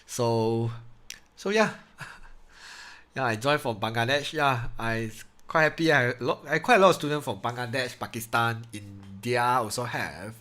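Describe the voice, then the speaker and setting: A young man with a Malaysian English accent chats casually to his viewers during a live online maths class. His voice sits at an ordinary middle pitch for a man. He laughs easily and talks in a relaxed, loose way into a computer microphone. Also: a little slow. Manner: chatty, laughs often, loose grammar. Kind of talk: casual live-stream chat with students.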